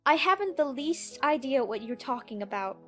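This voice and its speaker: Woman as polite, puzzled young girl, audiobook drama: high-pitched, prim, easily indignant. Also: animated, moderate pace, fairly bright tone, faint music behind. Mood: earnest. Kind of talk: dramatised audiobook character acting.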